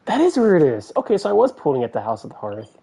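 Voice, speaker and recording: A man's voice: a little high, huge pitch swings, quick pace, vocal fry, warm tone, husky. A man giving casual live gameplay commentary, musing aloud in short, slangy bursts. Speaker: game streamer. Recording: game music faintly behind. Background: video game music.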